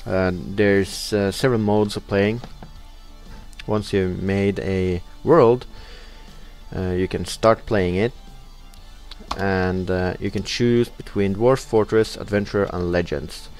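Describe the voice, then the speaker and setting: Young Norwegian man, low voice, halting casual game commentary, faint music bed. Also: steady tone, a little mellow. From Norway. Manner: hesitant, many uh fillers, reading in-game text aloud. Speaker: let's play host.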